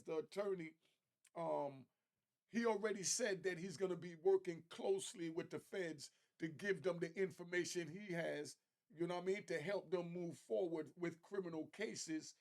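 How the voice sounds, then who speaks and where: A man's voice: high voice, darkish tone. A man hosting an online show, giving casual, opinionated commentary in conversational street slang.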